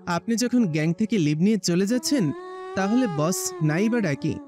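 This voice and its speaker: Man playing high-voiced loyal gang henchman. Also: animated, normal speed. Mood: earnest, deferential. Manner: deferential, earnest. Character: loyal, respectful male gang lieutenant. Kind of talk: audio story acting. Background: music.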